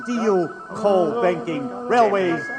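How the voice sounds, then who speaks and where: An actor playing a commanding, grandiose Gilded Age banker in an audio drama, speaking with pompous authority. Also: male voice, high voice, slow pace, over loud music. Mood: imperious. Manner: pompous, imperious.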